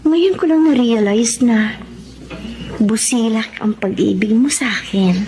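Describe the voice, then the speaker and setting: A woman's voice: on the high side, measured pace, mellow, rough recording. An actress playing a remorseful, devout young woman in an emotional Tagalog radio drama, with a Filipino accent. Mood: tender, remorseful.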